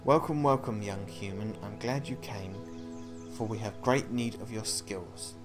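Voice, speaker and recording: A huge, regal whale monarch acted out by a man speaks in a fairly low voice. The delivery is formal and declamatory, full of courtly grandeur, like a storybook game character. Music plays clearly underneath. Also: unhurried, close to an everyday voice. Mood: welcoming, urgent.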